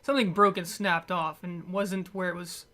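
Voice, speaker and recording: A man's voice: sits high, flat delivery, pushed and bright. A man delivers an unscripted, conversational movie rant. He rambles and stumbles as he looks for the right word, dropping wry, dismissive asides along the way. His voice sits at an ordinary, unforced level. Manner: rambling, halting, stumbling over words. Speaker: amateur movie reviewer.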